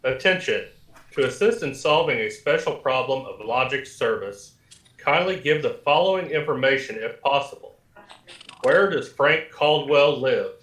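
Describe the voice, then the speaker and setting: An actor playing a calm, precise, literal talking computer in a radio play, speaking in measured formal announcements. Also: male voice, mid-range voice, natural voice. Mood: impersonal, matter-of-fact. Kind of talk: 1950s radio play acting. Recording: roomy echo with faint music behind.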